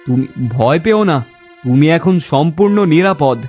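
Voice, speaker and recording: A man's voice: on the high side, lively intonation, normal speed, a little mellow, faint music behind, natural voice. A man playing a brave, kind and commanding king in a fairy-tale cartoon. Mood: reassuring.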